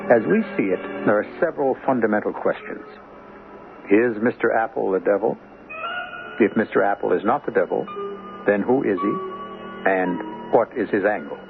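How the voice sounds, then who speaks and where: A man hosting an old-time radio mystery, narrating in a wry, deliberate, theatrical way. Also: fairly low pitch, creaky, phone-quality sound. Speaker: host. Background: music.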